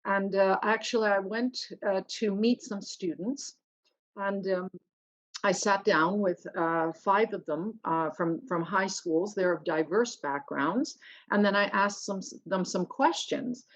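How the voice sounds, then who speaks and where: A woman hosting an interview, speaking in a halting, polite way. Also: mid-range voice. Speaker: host. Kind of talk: interview chat. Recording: dull lo-fi audio.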